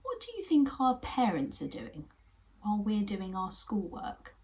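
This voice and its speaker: A woman's voice: mid-range voice, huge pitch swings, measured pace, a little mellow. A woman voicing Bertie, a young male woodland puppet creature who is curious and eager to help, in a children's puppet story. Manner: eager, wondering questions.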